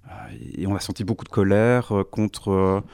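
A man's voice: fairly low pitch, flat delivery, normal speed, darkish tone, clear, resonant tone, no echo. A man, speaking as a youth worker on a panel, earnest and thoughtful, often restarting his sentences. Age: 30s. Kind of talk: radio panel discussion.

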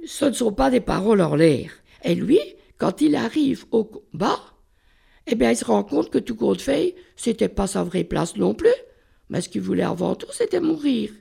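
An older speaker on a discussion panel, talking slowly and deliberately in a reflective way. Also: medium pitch, gravelly, mellow, husky.

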